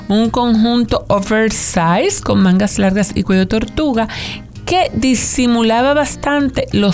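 A woman's voice: a little high, darkish tone. A woman co-hosting an entertainment show, relating celebrity gossip with hesitations and wry asides. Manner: slow, hesitant, many "eh" fillers, wry asides.

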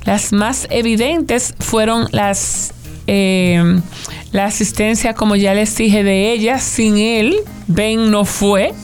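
A woman's voice: mid-range voice, steady tone, darkish tone, soft background music. A woman co-hosting an entertainment show, relating celebrity gossip with hesitations and wry asides. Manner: slow, hesitant, many "eh" fillers, wry asides.

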